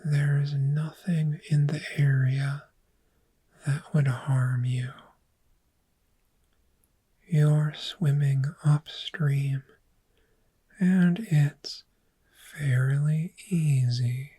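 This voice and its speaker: A woman playing a calm, gentle dragon therapist in a soft-spoken ASMR roleplay, speaking in a breathy, soothing whisper with long pauses. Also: low-pitched, heavily acted. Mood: soothing, hypnotic.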